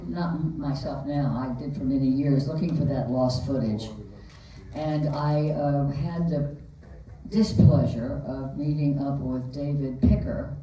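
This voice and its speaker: A woman with a low, mellow voice tells an anecdote at a theater Q&A in a relaxed, chatty way. She is picked up from a distance, and her voice echoes through a large hall. A faint hiss runs under her. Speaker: film producer on a panel. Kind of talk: storytelling at a Q&A.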